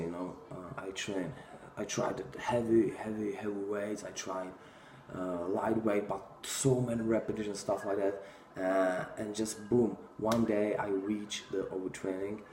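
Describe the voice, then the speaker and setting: A man's voice: lowish voice, a little mellow, echoey room, a little hiss or hum. A man in his thirties with a Czech accent, talking bluntly and casually to a phone camera in a small room, with many fillers. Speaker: fitness vlogger. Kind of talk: casual talking-head monologue.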